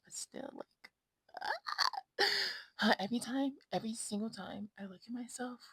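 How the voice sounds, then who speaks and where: Young woman vlogger, 25, sassy, husky whisper-prone delivery, slightly high voice. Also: big pitch swings, unhurried, no room sound. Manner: sassy, drifts between whispers and loud asides. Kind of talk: casual chat to camera. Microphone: new desk mic.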